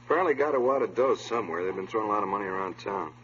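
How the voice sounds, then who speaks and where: An actor playing a brisk, hard-boiled police detective with clipped, businesslike speech in a 1950s radio drama. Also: male voice, on the low side, animated, fairly bright tone. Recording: muffled old radio recording, faint noise.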